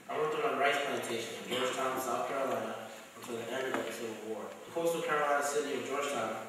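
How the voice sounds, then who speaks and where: A man's voice: medium pitch, lightly acted. Young man as storyteller in a very echoey chapel. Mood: plain, solemn. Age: young adult. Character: men of one family telling their life stories. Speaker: student reader. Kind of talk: scripted first-person dramatic reading. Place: large school chapel. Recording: echoey hall, distant mic, faint noise.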